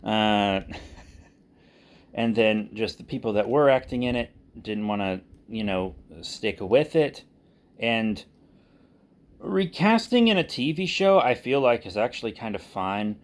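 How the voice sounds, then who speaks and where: A man speaking casually and unscripted as a channel host, candid and self-deprecating, with halting delivery. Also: lowish voice, animated. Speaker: audio drama creator and channel host. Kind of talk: casual unscripted vlog talk.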